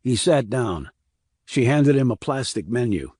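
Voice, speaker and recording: A man reading a crime thriller as an audiobook narrator, in a measured storytelling manner. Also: mid-range voice, big pitch swings, a little mellow, slightly rough, no room sound, clean studio sound.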